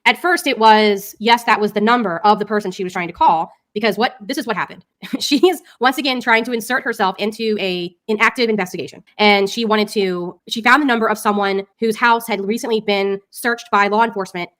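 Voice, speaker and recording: Female commentator, quick talker, informal and opinionated, emphatic delivery. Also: mid-range voice, a bit bright. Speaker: commentary streamer.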